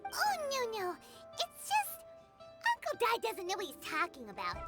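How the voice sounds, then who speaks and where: A very high, squeaky little fairy girl, bossy and cheeky and always ready to butt in. Her voice leaps up and down with excitement. Game music plays behind her. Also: measured pace, exaggerated voice. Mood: pushy. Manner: refers to herself in third person, pushy and excitable. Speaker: adventure companion.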